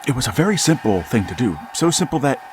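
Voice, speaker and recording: A man's voice: mid-range voice, sing-song, quick pace, background noise, lightly acted. An actor playing a grieving, middle-aged father who narrates and pleads desperately to reach his dead son, in a vintage-style radio drama. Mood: hushed. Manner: anguished, pleading, rising to frantic outbursts. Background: music.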